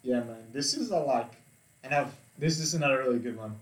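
A man's voice: mid-range voice, a little fast, far from the mic. An eighteen-year-old man in casual, laid-back banter, swearing freely as he reads profiles aloud. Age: young adult. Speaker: co-host reading dating profiles. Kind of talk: casual chat. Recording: echoey room.

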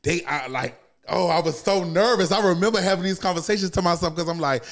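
A man's voice: sits high, quick pace. An American man chatting as a podcast guest, telling stories casually and emphatically, repeating phrases for stress and swearing freely. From United States, born in New York, raised in Savannah, Georgia. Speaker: podcast guest, comedian and motivational speaker. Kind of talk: casual interview storytelling.

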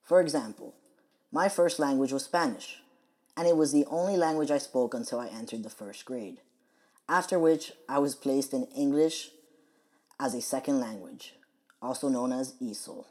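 A young adult man from the United States hosting a podcast, reading his scripted personal monologue in a measured, earnest way. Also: medium pitch, a little mellow. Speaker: podcast host.

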